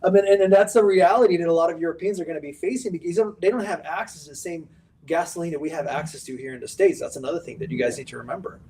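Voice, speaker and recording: A man's voice: fairly high pitch, very fast, a little mellow, recorded from a distance. A man who grew up in Bulgaria, co-hosting a casual trading show and telling a personal story in an earnest way. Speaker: co-host. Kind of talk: casual chat.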